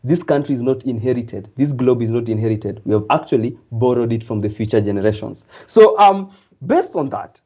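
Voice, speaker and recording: A man's voice: medium pitch, expressive, brisk, dark tone, breathy edge. Enthusiastic young man hosting, muffled. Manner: enthusiastic, rambling with many fillers. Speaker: event host. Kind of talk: live online event hosting.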